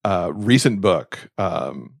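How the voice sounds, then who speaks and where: A man's voice: low voice, animated, slow, gravelly, no echo, studio quality. A man hosting a podcast, talking casually and enthusiastically about books. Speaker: podcast host. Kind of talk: casual book chat on a podcast.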